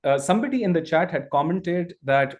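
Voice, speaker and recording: A male trainer whose first language is Urdu, giving an energetic live online demo and often repeating and restarting his phrases. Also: a little high, a little fast, lo-fi sound.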